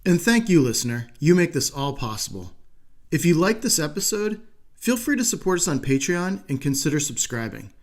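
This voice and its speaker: A man hosting a podcast interview, asking questions with polite, measured phrasing. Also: fairly high pitch, sing-song. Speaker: podcast host.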